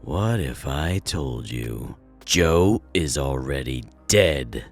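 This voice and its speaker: Evil spirit in a stolen male body: extremely low, taunting, theatrical villain, audio drama with prominent music underneath. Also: expressive, slow pace, exaggerated voice. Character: sneering evil spirit possessing a man's body, menacing villain.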